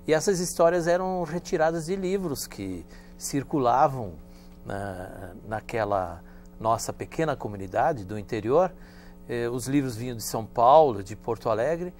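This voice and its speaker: A man, a professor whose first language is German, telling childhood stories in a measured, storytelling way during a studio interview. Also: medium pitch, faint music behind. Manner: measured and storytelling, with lively emphasis. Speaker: guest professor.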